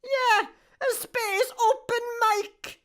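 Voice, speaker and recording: A Scottish woman playing a cheeky, deadpan petrol station attendant in a sci-fi comedy radio play, dry and sarcastic. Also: very high voice, drawn-out words, full character voice. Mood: chatty, dry. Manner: dry, self-aware, sarcastic.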